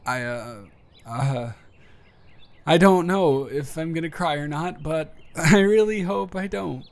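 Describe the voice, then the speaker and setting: A man's voice: on the high side, slow. A man playing an earnest, anxious young man confessing love to a close friend in a hesitant, vulnerable way, in an intimate audio roleplay. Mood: anxious, near tears. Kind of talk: emotional roleplay audio acting, intimate monologue to the listener.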